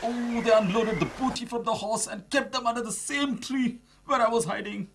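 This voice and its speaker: A man voicing a poor, excitable young woodcutter in an animated children's story, full of exclamations. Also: very high-pitched, moderate pace, background noise. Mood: excited.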